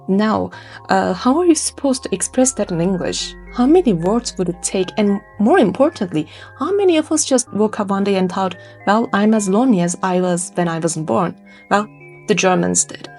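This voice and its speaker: Expressive woman narrating over music. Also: medium pitch. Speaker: presenter of an educational video. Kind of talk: scripted video essay narration. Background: background music.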